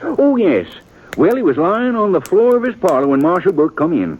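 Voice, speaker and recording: An actor playing a gossipy, long-winded cafe owner in a 1950s radio western, folksy and American, rambling along and easily offended. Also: male voice, sits high, very animated, normal speed, a little mellow, a little hiss or hum. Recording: muffled vintage radio recording.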